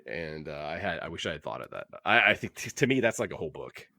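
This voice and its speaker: A man's voice: extremely low pitch, very animated, quick pace, creaky, sharp tone, no echo, clean studio sound. A man co-hosting a casual book discussion podcast, rambling and restarting his sentences as he thinks aloud. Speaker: podcast host.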